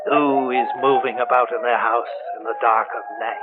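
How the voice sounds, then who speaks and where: An actor playing an eerie, gleeful old hermit who tells horror tales with a cackle on old-time radio. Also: male voice, level tone, normal speed, lightly acted. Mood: gleeful, sinister. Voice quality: shrill, cackling, howling laugh. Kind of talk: 1940s radio horror hosting. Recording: very noisy, tinny vintage radio recording.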